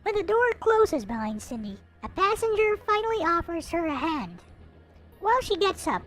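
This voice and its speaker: A woman's voice: very high-pitched, big pitch swings, moderate pace. A woman reading a story aloud expressively, with playful, theatrical casual asides. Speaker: host reading a visual novel aloud. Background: background music.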